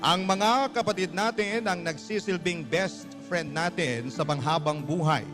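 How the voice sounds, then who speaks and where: A male radio host reads a letter as dramatic storytelling. His voice sits a little high for a man, and music plays clearly underneath. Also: a little slow. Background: background music.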